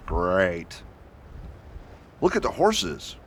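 A man's voice: low voice, wildly swooping pitch, extremely slow, slight background noise, exaggerated voice. A man playing a determined US marshal in a radio drama, speaking earnestly and insistently. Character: determined male US marshal, stubborn and dutiful. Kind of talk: amateur radio drama acting.

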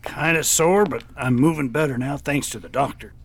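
An actor playing a polite, easygoing deputy with a gravelly voice in a western radio drama. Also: male voice, on the high side, normal speed. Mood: grateful. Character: polite male deputy in the Old West.

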